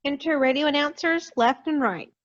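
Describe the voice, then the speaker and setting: A woman narrates stage directions at a table read. Her voice is a bit high. The recording is dull and lo-fi, over a video call. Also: normal speed.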